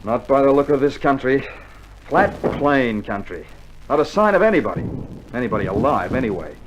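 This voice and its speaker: A man's voice: medium pitch, very animated, normal speed, dull audio, close to an everyday voice. An actor playing a cheeky, skeptical young Australian infantryman with dry sarcastic banter, in a scripted mid-century radio drama. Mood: mock innocent, sardonic. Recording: noisy old vinyl radio drama recording.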